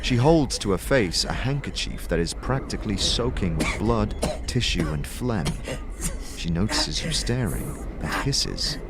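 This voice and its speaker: Low, lively male fantasy narrator. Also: a little slow, very noisy. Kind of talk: dramatic scripted fantasy narration.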